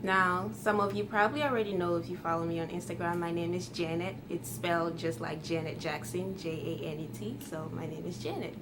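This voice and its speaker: A woman's voice: fairly low pitch, a little fast, background noise. Ghanaian-born young woman chatting to camera. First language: Twi. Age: 20s. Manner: friendly, chatty, light laughs. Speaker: YouTuber. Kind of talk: casual talk to camera.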